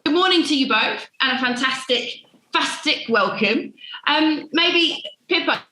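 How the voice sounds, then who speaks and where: Bright enthusiastic woman hosting a webinar. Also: sits high, animated, unhurried, reverberant, a little hiss or hum, low-quality recording. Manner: upbeat, enthusiastic introductions. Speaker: webinar host.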